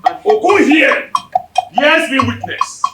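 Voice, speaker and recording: Stage warlord chief, Nigerian accent, proud and declamatory; high-pitched, echoey hall pickup. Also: very animated, slow, very noisy, heavily acted. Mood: solemn. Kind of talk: loud declamatory stage acting. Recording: echoey stage hall, distant mic, music.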